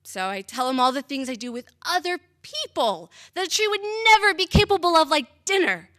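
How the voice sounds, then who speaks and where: A young woman with a high, bright voice performs slam poetry. She speaks in a dramatic, deliberate way, setting phrases apart with measured pauses. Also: sing-song. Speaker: slam poet. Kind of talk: spoken-word poetry performance.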